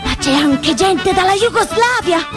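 An actress playing a spirited, naive young Italian partisan girl in an animated, emphatic theatre monologue. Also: extremely high pitch, a little slow, over loud music. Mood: amazed, excited. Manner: animated, emphatic, swinging between hushed narration and exclamation.

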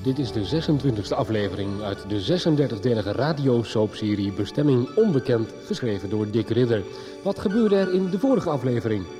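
A man's voice: medium pitch, lively intonation, brisk. A man reading a scripted recap as narrator of a radio soap serial. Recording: music behind the voice. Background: music.

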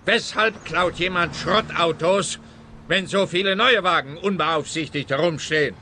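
An actor playing a self-important, stolid police officer in a radio crime comedy, pompous and literal-minded. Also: male voice, high voice, a little slow, sharp tone, a little hiss or hum. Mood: grave, puzzled.

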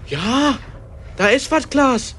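A man's voice: very high voice, expressive, slow pace, a bit bright, slight background noise, heavily acted. A male actor playing an anxious, loyal young boy in a radio drama, questioning naively with a North German accent. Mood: alert.

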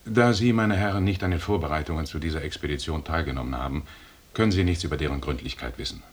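A stern male expedition commander in a radio drama, coolly condescending and formal. His deep voice is husky, and he lectures in measured phrases. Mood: lecturing. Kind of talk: 1980s German radio drama acting.

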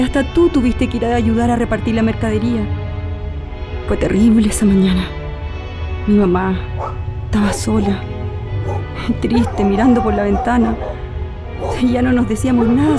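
A woman's voice: a little high, close to an everyday voice. An actress playing an anxious, tender middle-aged mother with a Chilean accent in a radio drama. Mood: anguished, nostalgic. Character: anxious, grieving Chilean mother lost in memories.

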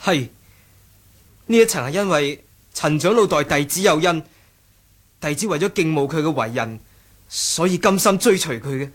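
Young wuxia disciple, male actor, humble and nervous, somewhat high pitch, submissive radio-drama delivery. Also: expressive, moderate pace, pauses often. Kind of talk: Cantonese martial-arts radio drama acting.

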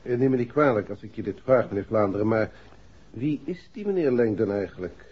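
Casting: courteous, probing detective inspector in 1960s radio drama; rough husky tone, dull old recording. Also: male voice, fairly low pitch, animated. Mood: measured, inquisitive. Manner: formal, measured, inquisitive. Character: polite, probing male police inspector on a murder case. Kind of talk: 1960s radio play acting.

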